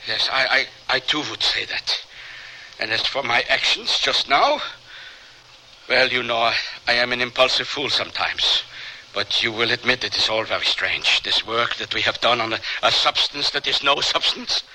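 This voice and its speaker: An actor playing a proud, impulsive, indignant scientist in a radio drama, with theatrical and formal phrasing. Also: male voice, medium pitch, moderate pace, pushed and bright, slight background noise, low-quality recording. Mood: grudging, subdued. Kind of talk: old-time radio drama acting.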